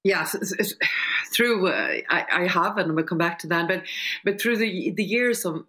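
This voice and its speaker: An older woman speaking on a video panel in a measured, halting, explanatory way. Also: lowish voice, animated, sharp tone, slightly rough. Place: small furnished room indoors. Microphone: video call.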